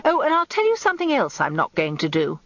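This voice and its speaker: A woman playing a brisk, tart older wife in a radio drama, answering with crisp, firm retorts. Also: a little high, wildly swooping pitch, no echo, dull audio, pitched above the performer's own voice.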